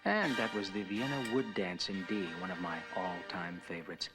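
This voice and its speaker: An actor playing a polished radio quiz host in a television commercial, with theatrical announcer delivery. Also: male voice, low voice, moderate pace, loud music behind the voice, lightly acted. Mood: smooth, suspenseful. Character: smooth-talking classical radio host running phone quiz.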